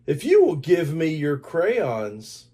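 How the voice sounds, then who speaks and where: A man's voice: on the high side, wildly swooping pitch, slow, mellow, husky, voice pushed up, full character voice. A man voicing a bargaining young schoolboy in a children's storybook, casual and coaxing.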